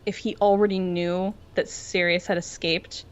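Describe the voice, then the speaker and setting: A woman's voice: fairly low pitch, flat delivery, moderate pace, lo-fi sound. A woman co-hosting a casual fan discussion, talking in a rambling, tangent-prone way peppered with "like". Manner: rambling, tangential, filler-heavy with frequent "like". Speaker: podcast co-host.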